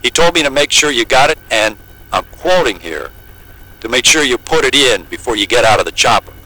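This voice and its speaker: A man's voice: mid-range voice, expressive, brisk, rough recording. A man playing a wry, down-to-earth helicopter pilot in an audio drama, plain-spoken and joking in a naturalistic way. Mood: casual, advising. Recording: loud engine hum of a helicopter cabin.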